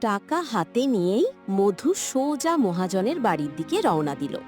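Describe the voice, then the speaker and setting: A woman narrator telling a scripted cartoon story in a dramatic, expressive way. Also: mid-range voice, moderate pace, faint music behind.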